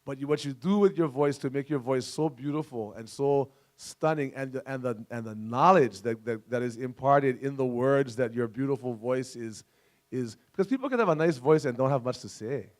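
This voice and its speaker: A man speaking as a panelist, animated and digressive with self-deprecating humor. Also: medium pitch, normal speed. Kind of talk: panel discussion.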